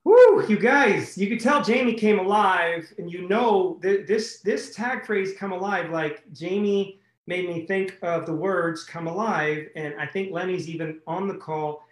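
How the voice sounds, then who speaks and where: A man hosts a community video call in an upbeat, excitable way, repeating himself and breaking into loud bursts. His voice sits a little high and sounds roomy and echoey. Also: measured pace, hardly any pauses. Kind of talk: enthusiastic casual chat.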